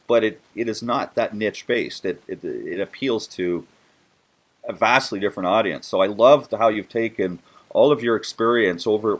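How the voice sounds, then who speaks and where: A man hosting a casual promotional interview, effusive and often repeating his words as he goes. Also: lowish voice. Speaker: interview host.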